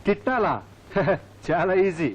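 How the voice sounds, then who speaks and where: A man's voice: high-pitched, takes it slowly, breathy edge, exaggerated voice. An actor playing an obliging, slightly cheeky odd-job man in a film comedy. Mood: confident. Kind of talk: Telugu film comedy acting. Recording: muffled film audio under loud music.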